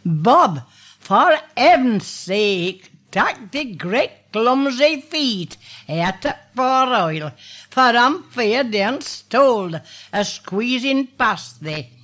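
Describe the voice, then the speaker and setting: A woman's voice: fairly high pitch, very animated, extremely slow, fairly bright tone, full character voice. An elderly woman in her eighties reciting from memory, voicing an exasperated Yorkshire housewife who scolds her husband in broad dialect. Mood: scolding. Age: 80s.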